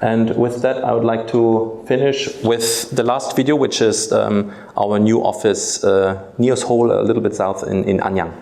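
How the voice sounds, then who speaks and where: A man in his forties from Germany, a native German speaker, giving a hesitant talk to students in a school auditorium, pausing often on filler sounds. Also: on the low side, level tone, vocal fry, warm tone. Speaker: guest speaker. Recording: echoey hall.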